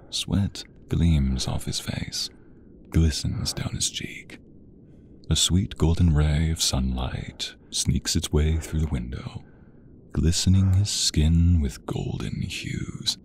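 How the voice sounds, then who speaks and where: A man reading as a smitten, sensual narrator of a romance story, slow and intimate, often sinking into a breathy whisper. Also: extremely low pitch, slight background noise, exaggerated voice. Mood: sultry, admiring.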